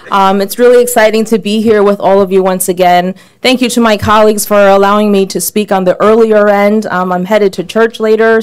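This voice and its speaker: A woman's voice: medium pitch, smooth. A woman giving friendly, lightly joking remarks at a podium, with frequent um fillers. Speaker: congresswoman speaker. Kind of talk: speech at a podium.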